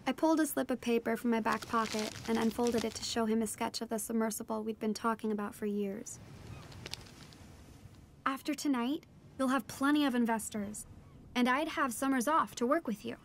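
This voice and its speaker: A woman's voice: a little high, normal speed, slight background noise, lightly acted. A young woman playing an earnest teenage daughter, narrating a first-person audio drama in a reflective way. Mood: warm, hopeful. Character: teenage daughter of a marine biologist, earnest storyteller. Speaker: narrator and lead character.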